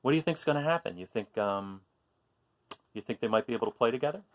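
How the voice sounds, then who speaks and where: Male children's storyteller, very lively pitch, expressive read-aloud style, talks directly to kids with simple questions and explanations. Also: fast, narrow, tinny audio.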